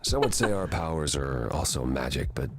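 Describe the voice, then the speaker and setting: An actor playing a measured, deliberate ancient god in a video game. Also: very deep voice, sing-song, noisy background. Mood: calm, grave.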